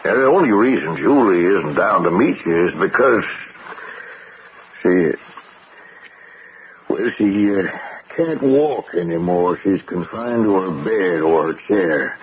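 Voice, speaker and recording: A man playing a paternal middle-aged rancher husband in an old-time radio drama, courteous and halting. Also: low voice, animated. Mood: pained, hesitant. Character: courtly older rancher husband, guarded and solicitous. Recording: muffled, noisy old radio broadcast.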